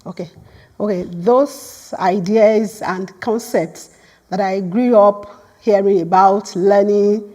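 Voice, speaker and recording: Woman, Yoruba first language, guest lecturer in a hall, earnest and measured, middle pitch. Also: fairly even intonation, dark tone. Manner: earnest, repeats words when starting a phrase. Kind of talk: academic lecture.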